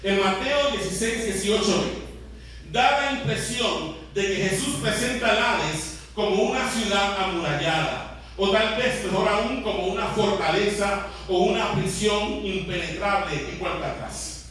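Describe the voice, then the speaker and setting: A very echoey, distant recording with faint noise carries a male pastor with a Puerto Rican accent. His voice sits high for a man. He teaches interactively, putting questions to his congregation as he explains. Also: a little slow, a bit bright. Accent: Puerto Rican Spanish. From Puerto Rico. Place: large echoey room, church service.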